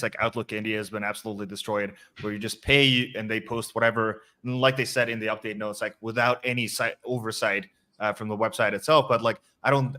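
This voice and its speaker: A man's voice: lowish voice, pushed and bright. A man in his twenties from Estonia, a webinar guest, talking in an upbeat, direct way. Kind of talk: casual expert answers.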